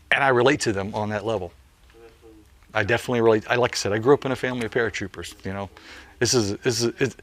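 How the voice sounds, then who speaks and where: A man's voice: fairly low pitch, a bit bright. Earnest man in his late forties. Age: late 40s. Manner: earnest storytelling, frequent "you know" fillers. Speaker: military parachute jumpmaster. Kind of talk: casual interview answer.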